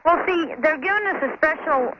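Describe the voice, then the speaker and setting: High-voiced American woman on muffled phone line. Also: moderate pace, noisy background. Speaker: caller to radio advice show. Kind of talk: casual phone conversation. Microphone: telephone.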